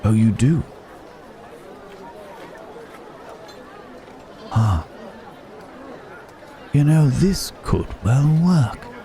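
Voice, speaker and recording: A man's voice: medium pitch, lively intonation, slow, dark tone. A man playing a courteous, wary city-bred werewolf in an ASMR roleplay, hesitant and musing with old-fashioned wordy phrasing. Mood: pondering. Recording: market crowd and outdoor ambience noise. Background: bustling village market ambience.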